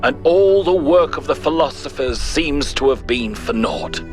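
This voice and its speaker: An actor playing a thoughtful, principled ancient Greek philosopher in a video game, giving a grave, measured, rhetorical monologue. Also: male voice, fairly high pitch, expressive. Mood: grave, resolute. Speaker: narrator of philosophical audio logs. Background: music.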